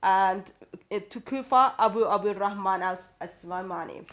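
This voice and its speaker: Halting Turkish-accented woman, mid-pitched voice. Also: fairly bright tone. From Turkey. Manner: halting, searching for words. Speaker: co-host. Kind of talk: explanatory religious discussion.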